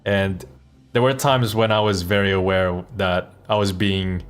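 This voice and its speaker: A man giving casual live game commentary as a streamer, talking in a halting, reflective way. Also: deep voice, fairly even intonation, clean tone. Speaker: streamer and voice actor. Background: game music.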